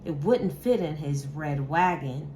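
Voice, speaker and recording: Slow-reading woman storyteller, slightly low voice. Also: warm tone, slight background noise. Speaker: teacher reading a picture book aloud. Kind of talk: children's story read-aloud.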